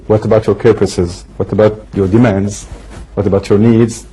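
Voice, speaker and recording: A man's voice: lowish voice, little pitch movement, moderate pace, warm tone, slightly rough, rough recording. A man, a native Arabic speaker, hosting a TV interview and asking pointed, probing questions. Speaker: TV interview host.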